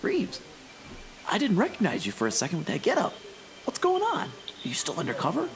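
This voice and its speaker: A woman's voice: fairly low pitch, wildly swooping pitch, fairly quick, heavy background noise. A woman playing an earnest, honest young male rookie cop, eager and questioning, in an old-time radio drama. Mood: incredulous.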